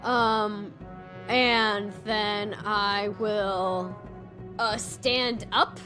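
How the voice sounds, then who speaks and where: A woman playing a tabletop game, chatting casually and thinking her moves through aloud in a deliberate way. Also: mid-range voice, fairly even intonation. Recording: music behind the voice. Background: game soundtrack music.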